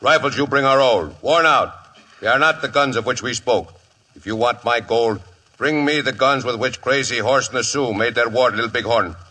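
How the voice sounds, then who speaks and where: Stern young chief, forceful voice. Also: male voice, medium pitch, moderate pace, slightly rough. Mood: stern, demanding. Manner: declamatory, stilted formal phrasing. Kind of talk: 1950s radio western acting.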